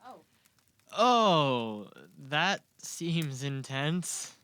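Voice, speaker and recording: A man's voice: on the high side, very animated, extremely slow, bright, forceful tone, clear, resonant tone, dry recording, exaggerated voice. A man playing a curious young male newcomer to magic in an amateur audio drama, casual and full of skeptical questions. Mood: skeptical, probing. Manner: inquisitive, casual.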